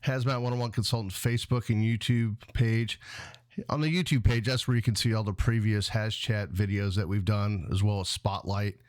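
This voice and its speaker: A man's voice: fairly low pitch, steady tone, moderate pace, a little mellow. A middle-aged man hosting a show in casual chat, rambling a little with a jokey, hesitant delivery. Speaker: show host.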